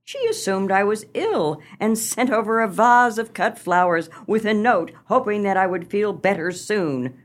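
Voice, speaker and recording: A woman playing a scheming, dramatic small-town wife and mother in a comic radio play, with theatrical, genteel delivery. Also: mid-range voice.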